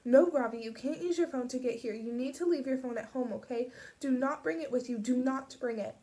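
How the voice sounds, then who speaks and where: A woman's voice: high-pitched, animated, a little fast, pitched above the performer's own voice. Woman voicing a frightened, tearful teenage girl. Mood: urgent. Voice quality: voice rasping at the edges. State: choked up, sniffling.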